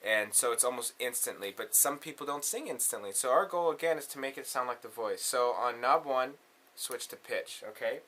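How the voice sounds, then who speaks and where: Bright-voiced man giving a casual tutorial. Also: medium pitch, expressive, brisk. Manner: instructional, checks in often with okay. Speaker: tutorial presenter, musician. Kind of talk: casual step-by-step synth tutorial.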